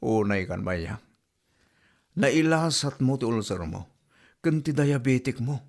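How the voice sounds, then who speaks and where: A man's voice: mid-range voice, lively intonation, slow pace, vocal fry. Ilocano comedy drama husband played by a man: blunt, fed up, scolding his ailing wife. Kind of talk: comedy radio drama acting.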